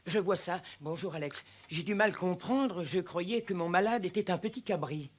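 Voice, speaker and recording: A man voicing a gentle, compassionate animal doctor in a cartoon, in a soothing manner. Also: sits high, moderate pace. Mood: puzzled. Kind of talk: cartoon voice acting.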